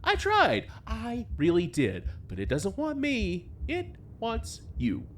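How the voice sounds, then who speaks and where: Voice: mid-range voice, wildly swooping pitch, takes it slowly, slight background noise, full character voice. An actor in an audio drama playing a mocking, jealous supernatural hanger-on with a flippant, teasing delivery. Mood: wry, bitter. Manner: flippant, teasing, theatrically swooping.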